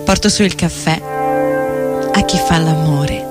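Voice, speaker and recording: A woman's voice: sits low, measured pace. A woman giving a theatrical spoken-word reading as a weary, disillusioned porter at a seedy hotel bar. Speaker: stage reader. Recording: loud guitar music under voice. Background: plucked guitar music.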